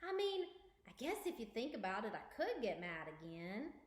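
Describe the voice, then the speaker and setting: A woman plays a moody young calf boy from a children's storybook. The voice is high and swings widely as he complains with earnest, childlike emphasis. The room sounds reverberant. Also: sing-song, moderate pace. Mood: cheerful, earnest.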